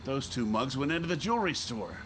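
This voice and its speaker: An actor with an American accent playing a driven newspaper editor who narrates a radio crime drama in hardboiled style. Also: male voice, medium pitch, big pitch swings, brisk, noisy background, lightly acted. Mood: tense. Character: driven, hard-bargaining newspaper editor narrating his story.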